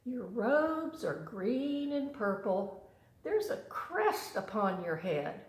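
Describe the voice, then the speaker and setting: A woman voicing a cunning male spider from a storybook poem, coaxing and flattering his prey in sugary, drawn-out phrases. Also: a little high, reverberant, poor audio. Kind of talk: storybook poem read aloud.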